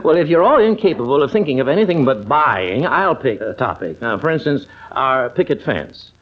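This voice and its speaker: A man's voice: mid-range voice, animated, moderate pace, natural voice. An actor with an American accent playing a wry, stubborn middle-aged doctor father in an old-time radio family comedy, dry and mock-patient. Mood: firm. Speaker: narrator and lead character. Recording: muffled old radio broadcast recording.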